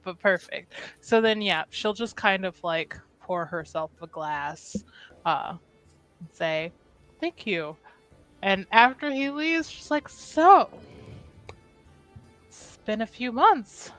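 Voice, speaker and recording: A woman's voice: mid-range voice, animated, slow pace, pauses often, a bit bright, faint music behind, poor audio. A woman playing a tabletop game, describing what her character does in the third person. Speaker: player. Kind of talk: casual tabletop play.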